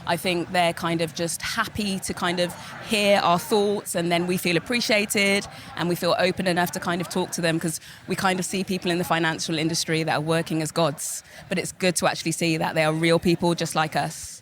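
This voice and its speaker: A young woman in her twenties, a business student, answering interview questions hesitantly with many pauses. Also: on the low side, flat delivery, a little hiss or hum. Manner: hesitant, many ums, soft-spoken. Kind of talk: podcast interview.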